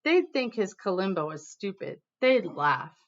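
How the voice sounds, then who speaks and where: An American woman teacher reading a storybook expressively to students, pausing to explain words. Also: medium pitch, very animated. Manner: pauses to explain words to children. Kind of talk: expressive read-aloud with explanatory asides. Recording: dull, lo-fi sound.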